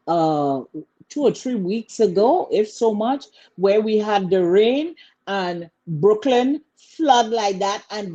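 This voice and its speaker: A woman from Trinidad with a Trinidadian accent, chatting casually in an animated, colloquial way. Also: fairly low pitch, muffled recording. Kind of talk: casual chat.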